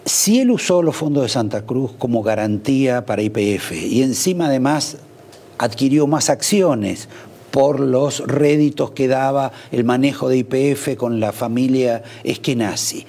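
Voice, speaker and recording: Argentine TV host, middle-aged man, dark husky voice, pointed questions, interjects. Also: medium pitch, a little slow. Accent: Argentine Spanish.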